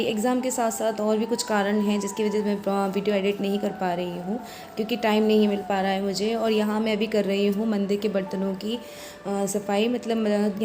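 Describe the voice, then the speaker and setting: A woman with a hoarse voice, chatting through her home chores as a vlog voice-over and explaining each step. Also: medium pitch, mellow. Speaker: vlogger.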